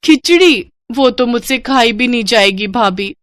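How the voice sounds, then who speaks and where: A woman voicing a whiny, contrite young unmarried woman in an animated family story. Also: on the high side, unhurried, no room sound, clean studio sound. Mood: protesting.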